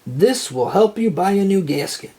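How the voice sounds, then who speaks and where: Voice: medium pitch, expressive, normal speed, dark tone, voice pushed up, lightly acted. A storyteller voicing a ghostly male pirate captain who bellows menacing threats in pirate talk, for a children's storybook. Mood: commanding.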